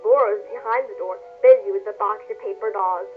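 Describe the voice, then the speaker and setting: A woman's voice: medium pitch, fairly quick. A female voice reading a storybook narrative aloud. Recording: muffled phone-like line, music behind.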